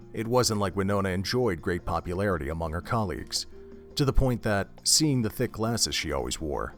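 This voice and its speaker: A man narrating an audio drama in a steady, descriptive storytelling manner. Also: fairly low pitch.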